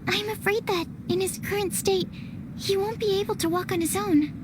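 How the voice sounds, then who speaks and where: A woman's voice: very high voice, fairly even intonation, moderate pace. A woman voicing a timid, earnest young woman alchemist in a video game, hesitant, polite and apologetic in formal phrasing. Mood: polite concern. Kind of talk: animated game dubbing. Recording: clearly noisy background.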